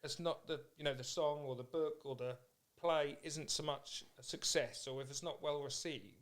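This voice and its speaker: A man with a fairly high voice hosts a casual chat. He speaks informally, in an encouraging and matey manner, as an interviewer among friends would. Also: fairly even intonation, moderate pace. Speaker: podcast host.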